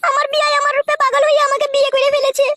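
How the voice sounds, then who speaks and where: A woman's voice: very high voice, flat delivery, very fast, loud music behind the voice. A woman voicing a plaintive, coaxing widowed village woman in a comic cartoon. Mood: pleading. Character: pleading widowed village woman, apologetic but unyielding lover.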